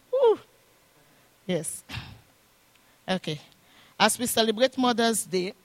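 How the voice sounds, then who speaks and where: An older woman with a foreign accent, a grandmother and guest speaker, praying fervently and preaching at a church service. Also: mid-range voice. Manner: fervent, repetitive prayer invocations. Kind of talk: prayer and sermon. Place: church sanctuary. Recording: echoey church room with music.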